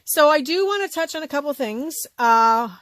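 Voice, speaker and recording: A woman with a scratchy voice chatting casually as she shows off a thrift haul, often trailing off into quiet asides. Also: sits high, expressive, normal speed, no room sound. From Canada. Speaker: vlogger showing a thrift haul. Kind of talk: casual show-and-tell chat.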